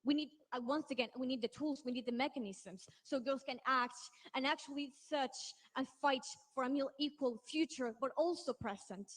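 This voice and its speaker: Teen Chilean activist, Spanish-native, fairly high voice, earnest and emphatic, stumbles and restarts. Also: female voice, moderate pace, hardly any pauses. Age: teens. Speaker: youth activist speaker. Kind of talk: impassioned speech on a panel.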